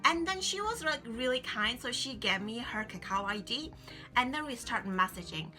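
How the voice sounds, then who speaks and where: Bright chatty woman, English. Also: on the high side, expressive, normal speed. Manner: chatty, many fillers like "like" and "you know". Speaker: vlogger. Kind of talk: casual advice vlog. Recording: faint music behind.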